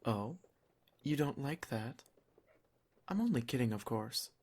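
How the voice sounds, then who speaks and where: A man voicing a protective, possessive vampire lord in an ASMR roleplay, speaking in a measured, reassuring, gently commanding way. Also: medium pitch, expressive. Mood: teasing, reassuring. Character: possessive, protective male vampire lord, soft-spoken and teasing. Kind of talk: ASMR roleplay, scripted intimate monologue.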